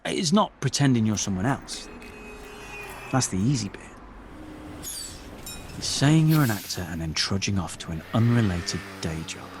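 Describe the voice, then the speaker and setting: A disillusioned young-hopeful type gone wry in an audio play. His delivery is self-deprecating and deadpan in wording, yet his pitch moves a lot, with clear noise behind him. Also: moderate pace, pauses often. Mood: wry, reflective. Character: disillusioned, wry male jobbing actor doing office work. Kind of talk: audio drama dialogue and monologue.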